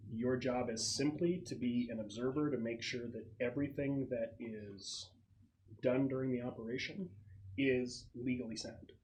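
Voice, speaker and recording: A man acting as game master, explaining a tabletop roleplaying game to his players in a halting, explanatory way. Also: mid-range voice, a little mellow, low-quality recording. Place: room at a gaming table with friends. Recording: clear background noise with clicks.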